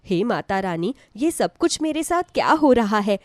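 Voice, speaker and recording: A woman's voice: medium pitch, very animated, unhurried, dry recording, studio quality. A woman voicing a devout, humble poor mother with an earnest, pleading manner in a devotional story. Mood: distressed, pleading. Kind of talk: Hindi devotional story acting.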